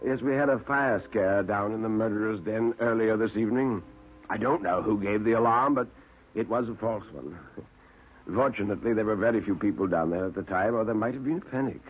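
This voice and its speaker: A man's voice: lowish voice, slightly rough. Man acting a chatty, macabre waxworks manager, muffled old radio. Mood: cautionary, fussy. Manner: hesitant ums, drawn-out relish, chuckling asides. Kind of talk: classic radio horror acting. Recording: muffled narrow-band old radio recording.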